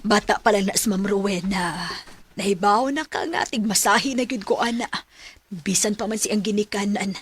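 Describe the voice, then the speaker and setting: An actress plays a hardworking, gentle mother of middle age in a radio drama. Her voice is husky and of average pitch. She speaks with a Cebuano accent. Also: moderate pace, no room sound, close to an everyday voice. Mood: reassuring.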